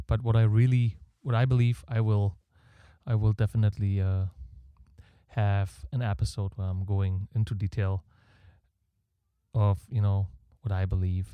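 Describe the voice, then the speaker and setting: German man with a low, mellow voice. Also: fairly even intonation, unhurried, clear, resonant tone, dry recording. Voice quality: audible breathing. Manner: rambling, opinionated, self-deprecating. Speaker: podcast host. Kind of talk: casual solo podcast monologue. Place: home setup in Canada. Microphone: close podcast microphone.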